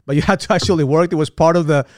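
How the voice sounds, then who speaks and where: A man from Ecuador hosting a podcast interview, animated and often repeating words as he starts sentences. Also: fairly high pitch, very fast, no echo, clean studio sound. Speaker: podcast host. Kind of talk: casual interview.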